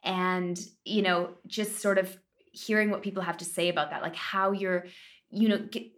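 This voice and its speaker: A woman's voice: fairly low pitch, flat delivery, normal speed, a bit bright. A woman in her early thirties co-hosting a podcast, talking casually and rambling with many hesitations and fillers. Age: 30s. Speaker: podcast co-host. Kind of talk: casual chat.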